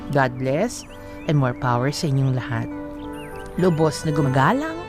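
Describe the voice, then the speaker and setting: A woman's voice: a bass voice, big pitch swings, measured pace, music in the background. Emphatic woman co-hosting a radio advice show. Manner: emphatic, mixes English into talk. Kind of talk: letter reading and casual commentary.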